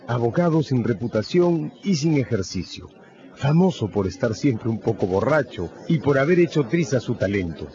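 A man narrating a radio novel in a scripted, dramatic storytelling style with measured phrasing. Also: fairly low pitch, a little mellow, muffled recording.

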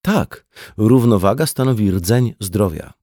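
A man's voice: lowish voice, expressive, takes it slowly, mellow, no echo, studio quality. A man reading a conversational non-fiction audiobook as scripted narration. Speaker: audiobook reader.

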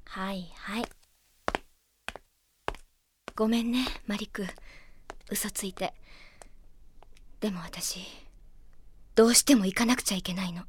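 A woman playing a feisty, headstrong young female mage in an audio drama, sharp-tongued and emotionally expressive. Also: medium pitch, normal speed, pauses often, a little hiss or hum, lightly acted. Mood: quiet, guilty. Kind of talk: Japanese anime audio drama acting. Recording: music behind the voice.

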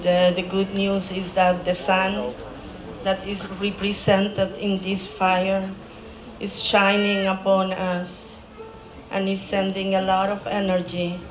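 Chilean woman speaking slowly, in flat tones. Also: mid-range voice, over music. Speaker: ceremonial fire leader. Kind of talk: ceremonial welcome speech. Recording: roomy, noisy live ambience.

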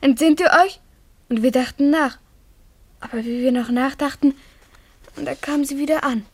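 A woman's voice: high-pitched, steady tone, moderate pace, a little mellow. A female performer acting a frightened, clinging little schoolgirl in a German radio play, earnest, breathless and pleading. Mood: fearful, earnest.

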